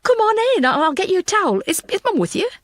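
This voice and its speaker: A woman voicing an anxious, wry detective in an audiobook, animated and given to exclaiming. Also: very high-pitched, fast talker, no room sound, studio quality, pitched above the performer's own voice. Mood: flustered.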